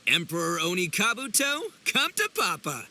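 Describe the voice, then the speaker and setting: A man's voice: high-pitched, sing-song, normal speed, pushed and bright, slight background noise, exaggerated voice. An actor playing a brash, gullible, overconfident young oni man in a video game, with boastful, theatrical, slangy delivery. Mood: delighted, then puzzled. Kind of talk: animated video game voice acting.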